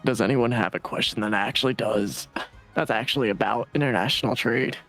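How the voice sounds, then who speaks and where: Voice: mid-range voice, fairly quick, fairly bright tone, faint music behind, pitched below the performer's own voice, natural voice. A performer voicing a stern, impatient goblin-like classroom character in a game read aloud. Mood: exasperated.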